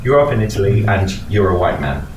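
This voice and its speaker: A man's voice: fairly low pitch, sing-song, quick pace, highs cut off. A man giving a conversational talk to a live audience, with many fillers and restarts. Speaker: meetup speaker. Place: meeting room with an audience. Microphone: distant room mic. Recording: echoey room from far off, noisy.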